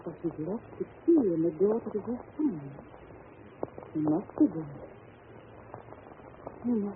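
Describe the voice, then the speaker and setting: Frightened young governess, noisy old radio. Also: female voice, mid-range voice, sing-song, unhurried. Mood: wary, dejected. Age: young adult. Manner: breathless, anxious, polite. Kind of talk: old-time radio drama acting. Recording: noisy, tinny vintage radio recording.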